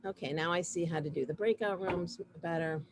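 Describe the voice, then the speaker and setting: A woman casually hosting an online community gathering over a video call, chatting informally in a halting way with trailing asides. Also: lowish voice. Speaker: host of an online community program. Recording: noisy video call audio.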